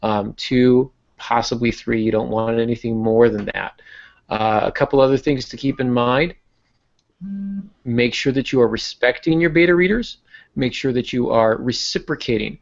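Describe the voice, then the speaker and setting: A man's voice: medium pitch, moderate pace, vocal fry, lo-fi sound. A man hosting a casual podcast as an author, explaining things in an informal, self-deprecating way.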